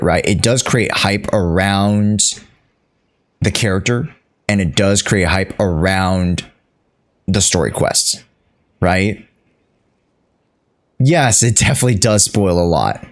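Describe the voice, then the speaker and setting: A man giving casual live commentary as he streams a game, thinking aloud in a deliberate, halting way. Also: lowish voice. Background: game music.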